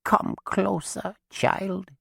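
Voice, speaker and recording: A man doing a croaking, quivering dead witch. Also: high voice, fairly even intonation, drawn-out words, a bit bright, dry recording, clean studio sound, voice pushed up, exaggerated voice. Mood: begging. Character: dead old witch woman, chained, pleading.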